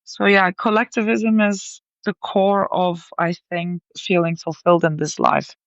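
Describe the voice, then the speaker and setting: A woman from Belgium telling her story as a podcast guest, animated and chatty, with lively asides. Her voice comes through a little dull and muffled, in a dry, close space. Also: fairly low pitch, a little slow, clear, resonant tone, dry recording. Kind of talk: conversational interview.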